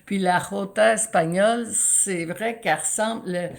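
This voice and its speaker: A woman in her sixties with a Quebec French accent, a sports broadcaster telling stories in an animated interview, often restarting her sentences. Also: medium pitch, normal speed, creaky. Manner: animated, storytelling with many restarts. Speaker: guest, sports play-by-play announcer. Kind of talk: conversational interview.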